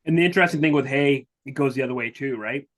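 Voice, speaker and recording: A man's voice: medium pitch, fast talker, a bit bright. A man in a mid-range voice joins a webinar discussion and talks through his thoughts casually. He leans on "like" and "right?" as he goes, in an easy, unscripted way. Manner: thinks aloud, hedging with "right?" and "like". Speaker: webinar participant.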